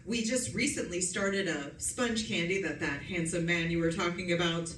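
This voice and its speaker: A woman's voice: fairly low pitch, normal speed, fairly bright tone, lightly acted. Woman playing polite shop clerk, echoey room. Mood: helpful. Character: polite, helpful female chocolate shop clerk. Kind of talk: radio drama acting. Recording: echoey room, distant mic, faint noise.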